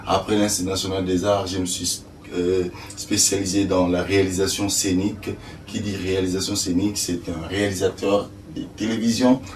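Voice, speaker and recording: An older man from Congo, an actor and stage director answering interview questions slowly with many fillers. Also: low-pitched. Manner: slow, hesitant, many fillers. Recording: echoey room, distant mic, faint noise.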